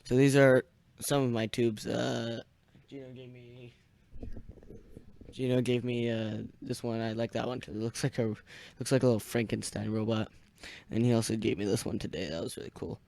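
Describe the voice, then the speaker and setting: A young man hosting an amateur radio net in casual, halting, easily distracted chat. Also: mid-range voice, fairly even intonation, vocal fry, a little mellow.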